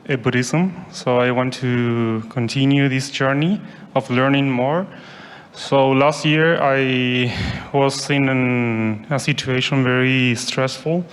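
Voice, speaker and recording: A man's voice: mid-range voice, clear, resonant tone. A young man from Chile with a Chilean Spanish accent, Spanish his first language, asking a question from the audience carefully and with many hesitations. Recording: long hall reverb.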